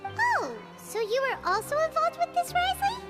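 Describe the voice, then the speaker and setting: A tiny fairy sidekick from an anime game, extremely high and squeaky. The delivery is chirpy and swoops around wildly, with loud music underneath. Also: female voice, very animated, moderate pace. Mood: curious. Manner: chirpy, speaks of herself in third person. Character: tiny cute chatty floating fairy companion, childlike, snack-loving. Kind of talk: anime-style game voice acting.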